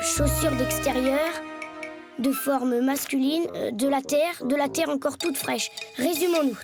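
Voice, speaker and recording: A woman's voice: high-pitched, lightly acted. A woman voicing a self-important schoolboy who plays master detective in a French cartoon, with precise, pompous delivery. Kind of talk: French animated series dialogue.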